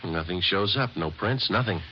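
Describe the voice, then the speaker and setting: A male police detective in a vintage radio crime drama. He speaks very low, in short understated phrases with a businesslike temper. The recording is muffled and clearly noisy. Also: animated, normal speed. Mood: dry, businesslike. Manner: clipped, understated, matter-of-fact. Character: terse, businesslike male police detective sergeant narrating his case. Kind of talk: 1950s radio drama acting and narration.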